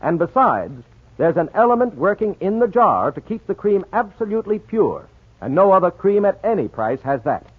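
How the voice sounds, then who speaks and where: A man's voice: sits high, big pitch swings, normal speed, breathy edge, phone-quality sound. A man with an American accent reading scripted narration as a 1940s radio announcer, polished and emphatic. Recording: thin 1940s radio recording, music behind.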